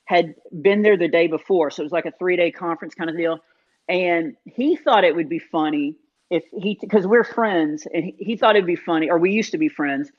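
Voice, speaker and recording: A man, a comedian guest in an interview, telling self-deprecating stories in an animated, casual way with many fillers. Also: on the high side, normal speed. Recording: dull lo-fi sound.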